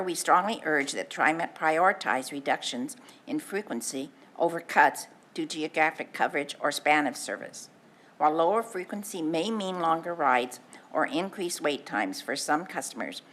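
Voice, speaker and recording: A woman chairing an advisory committee, reading a letter aloud at a public meeting in an earnest, measured way with small stumbles. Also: sits low, fairly bright tone.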